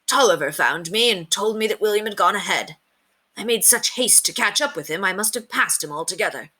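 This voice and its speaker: A woman's voice: medium pitch, lively intonation, a little fast, bright, forceful tone, breathy edge. A woman voicing an eager, respectful young page boy in a storybook read-aloud. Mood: apologetic. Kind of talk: storybook character reading.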